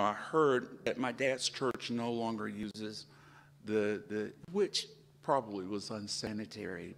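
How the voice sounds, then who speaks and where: Man, 50s, workshop presenter, unhurried and reflective, reverberant hall. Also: mid-range voice, a bit bright. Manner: reflective, quotes old hymn lines in a sing-song way. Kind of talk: informal talk to an audience.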